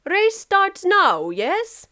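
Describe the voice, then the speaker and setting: Woman doing a drunken Russian man, pitched up. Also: wildly swooping pitch, extremely slow, bright, forceful tone, full character voice. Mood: tipsy, insistent. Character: big, drunken Russian man, sly and unbothered.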